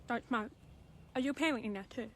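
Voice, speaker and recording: A woman's voice: fairly high pitch, quick pace, over music, lightly acted. An actress playing a deaf, stubborn teenage schoolgirl in a naturalistic radio drama, blunt and defensive. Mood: guarded.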